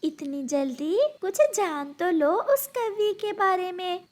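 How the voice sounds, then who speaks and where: A woman plays a small female sparrow from a cartoon fable. She sounds very high and speaks without hurry, as a sensible, questioning, concerned friend. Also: takes it slowly, a little mellow. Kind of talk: Hindi moral-story cartoon dubbing.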